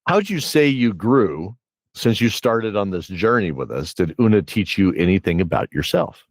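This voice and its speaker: A man's voice: on the low side, big pitch swings, normal speed, dry recording. A man hosting a casual podcast interview, chatting conversationally with his guest. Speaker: podcast host and producer.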